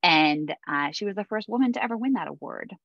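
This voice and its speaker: A woman's voice: mid-range voice, animated, a little fast, vocal fry, no room sound. A woman hosting a Navy mentoring session over a video call, chatty, with many fillers and easy laughs. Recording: dull dry video-call audio.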